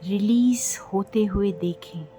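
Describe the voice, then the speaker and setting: An Indian woman leading a guided meditation in a gentle, unhurried manner, leaving long pauses between phrases. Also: medium pitch. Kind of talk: guided meditation in a slow, soothing delivery. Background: music.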